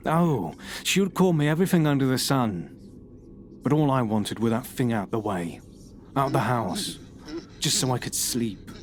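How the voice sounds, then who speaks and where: An actor playing a troubled man, giving a halting, brooding confession under questioning. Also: medium pitch, noisy background, natural voice. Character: troubled middle-aged man, paranoid and bitter. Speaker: suspect being questioned.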